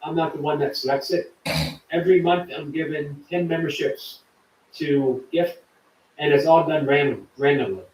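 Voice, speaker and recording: Guy hosting a livestream, kinda high voice, echoey room, sounds a bit far from the mic. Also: moderate pace. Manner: chatty, warm to viewers, reads chat aloud. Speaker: livestream host. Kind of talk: casual live chat reading comments.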